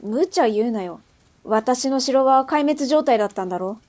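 An actress playing a tough, brusque female underworld boss and mother in a radio drama, speaking in a rough, mannish, commanding way. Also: high voice, animated, normal speed, darkish tone. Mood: brisk. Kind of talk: Japanese radio drama acting.

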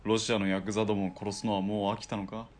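A man playing a wry, casual ex-soldier turned hired killer in a radio drama, with rough, bantering speech. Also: on the low side, flat delivery, fast talker, close to an everyday voice. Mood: dry, wry.

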